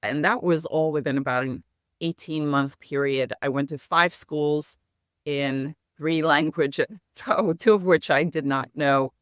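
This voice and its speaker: Smooth-voiced middle-aged woman, American accent. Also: low-pitched, no echo, clean studio sound. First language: Hungarian. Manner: measured, reflective storytelling. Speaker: guest, company founder. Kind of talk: podcast interview.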